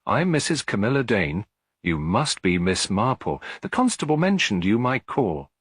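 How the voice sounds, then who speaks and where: Male narrator as middle-aged widow, tired, cool, guarded, low husky voice, audiobook dialogue. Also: very animated, moderate pace, fairly bright tone, no room sound, studio quality, exaggerated voice. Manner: clear but weary, composed.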